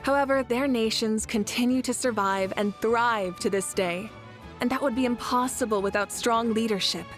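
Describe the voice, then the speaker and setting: A woman's voice: fairly high pitch, close to an everyday voice. Composed, dignified warrior leader played by a woman, over music. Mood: diplomatic, thoughtful. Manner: composed, measured, thoughtful. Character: calm, confident young warrior woman leader, warm and dignified. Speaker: video game character voice. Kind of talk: scripted game character dialogue.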